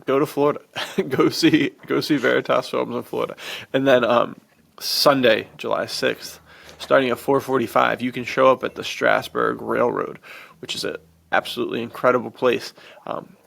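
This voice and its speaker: A man hosting a podcast in casual chat, informal and enthusiastic, with a gravelly, fry-heavy voice. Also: mid-range voice, steady tone, normal speed, fairly bright tone. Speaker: podcast host.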